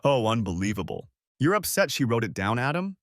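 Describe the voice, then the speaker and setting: A man's voice: medium pitch, sing-song, brisk, no room sound, clean studio sound. A man voicing a furious betrayed wife in a story, biting and indignant. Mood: scornful. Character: furious betrayed wife, sharp-tongued and scornful.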